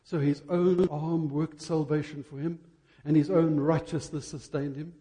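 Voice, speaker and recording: A man preaching an impassioned church sermon, emphatic, with rhetorical questions and deliberate stresses on key words. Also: on the high side, little pitch movement, dark tone.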